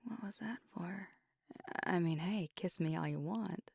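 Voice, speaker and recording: Woman as weary proud werewolf girlfriend, ASMR roleplay, hushed and breathy, unhurried halting delivery, very dry close sound. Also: fairly low pitch, no room sound, heavily acted. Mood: weary, defensive. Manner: hushed, halting, sighing, often slipping into whisper. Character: fiery, proud, weary young werewolf girlfriend confiding at night. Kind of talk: intimate ASMR roleplay audio drama.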